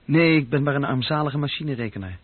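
A man's voice: medium pitch, expressive, fast, slight background noise, lightly acted. An actor playing an earnest, slightly awkward young office worker courting a girl in a radio drama. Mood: eager, curious. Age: young adult. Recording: narrow-band old radio play recording, very dry.

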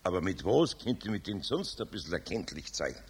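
A man's voice: low-pitched, sing-song, a little fast, breathy edge, heavily acted. A man playing a kindly, patient older master carpenter in a radio play, with a Bavarian accent and a homely, hesitant way of talking. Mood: thoughtful. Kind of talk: 1970s children's radio play acting.

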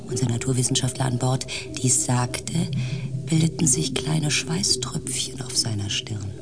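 An actress playing a thoughtful spaceship team coordinator who narrates a radio drama in a reflective manner. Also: female voice, extremely low pitch, expressive, measured pace, vocal fry, over loud music, full character voice.

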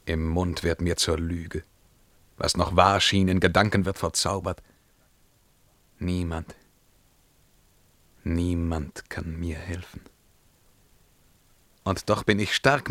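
An actor playing a brooding, anguished emperor burdened by his crown, declaiming verse in a theatrical radio drama, swinging between hushed murmurs and outcries. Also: sits low, no room sound, full character voice. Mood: brooding despair.

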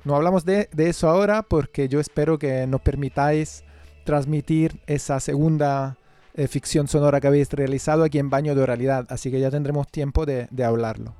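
A male radio interviewer with a Peninsular Spanish accent talks in a conversational way. His voice is very mellow and a little high for a man, recorded dry and close. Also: no room sound. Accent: Spanish from Spain.

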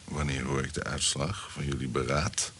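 An actor playing a sly, amorous court conspirator in a Dutch radio drama, insinuating and sometimes murmuring. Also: male voice, rumbling low, steady tone, moderate pace, fairly bright tone, slight background noise. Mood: insistent, pleading. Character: scheming amorous male courtier, sly conspirator seeking accomplices.

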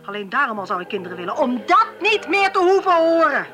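An actress playing a sharp-tongued, impatient police inspector in a Dutch radio drama, sarcastic and brusque, with a Netherlands accent. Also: female voice, sits high, very animated, fast talker, faint music behind, full character voice. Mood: indignant, irritated.

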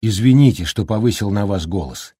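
A man voicing a weary older traveler who talks in a quiet, subdued way. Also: fairly low pitch, animated, brisk, slightly rough, no echo, clean studio sound.

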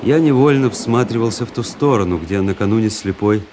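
A man's voice: fairly low pitch, a little fast, background noise, natural voice. An actor playing an intense, introspective young officer who narrates his own adventure in a radio drama. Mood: reflective, measured. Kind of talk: dramatic first-person narration, radio play. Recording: radio play with music underneath. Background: music.

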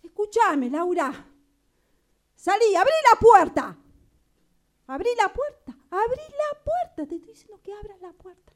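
A woman's voice: very high voice, sing-song, measured pace, pauses often, exaggerated voice. A woman with an Argentine accent acting a bossy, fed-up young woman roommate in an improvised radio play, nagging and calling out. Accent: Argentine Spanish. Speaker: radio drama workshop actor.

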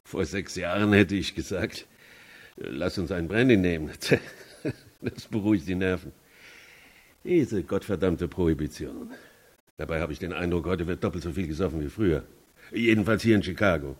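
An actor playing a worldly, reassuring middle-aged lawyer in a radio crime drama, casual and placating. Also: male voice, sits low, moderate pace. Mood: wry.

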